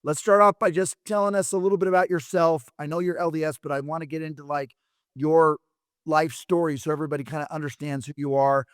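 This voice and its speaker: A man hosting a podcast interview, talking in a relaxed, rambling way with fillers while his voice is wearing out. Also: on the high side, fast talker, smooth, no echo, studio quality. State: losing his voice. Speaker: podcast host. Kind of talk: conversational interview.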